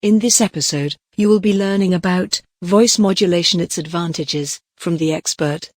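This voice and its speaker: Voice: medium pitch, moderate pace, no echo. An announcer reads a scripted show introduction in a mid-range voice. The sound is close and dry, with no room around it.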